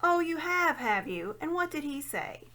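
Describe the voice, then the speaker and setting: Lady doing a grumpy storybook schoolboy, snappy and sulky, high voice swinging up and down. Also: very animated, measured pace, sharp tone, heavily acted. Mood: irritated, snappish. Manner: snappish, irritable.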